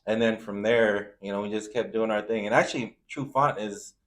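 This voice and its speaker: Man, lowish pitch, informal slangy speech, interview guest, personal storytelling. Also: fairly even intonation, moderate pace. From Zambia, moved to the US. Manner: informal, slangy, frequent "you know". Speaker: food truck owner, interview guest. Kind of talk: casual interview chat, personal anecdotes.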